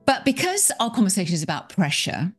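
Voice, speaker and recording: A woman's voice: medium pitch, very animated, a little fast, husky, soft background music. A woman hosting an interview podcast, reading an introduction and asking reflective questions with enthusiasm. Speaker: podcast host.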